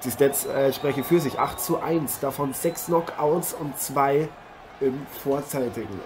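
A man's voice: mid-range voice, measured pace, close to an everyday voice. Man playing an eager boxing commentator over noise. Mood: engaged. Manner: effusive, formal address to viewers. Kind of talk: improvised sports commentary in an audio drama. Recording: noisy arena ambience behind voice.

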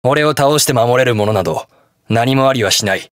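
An actor playing a brash, hot-blooded young detective hero in a video game, gruff, terse and defiant. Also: male voice, mid-range voice, fairly quick, dry recording, studio quality, natural voice. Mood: stern, resolute. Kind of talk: video game battle voice lines in Japanese hero-show acting.

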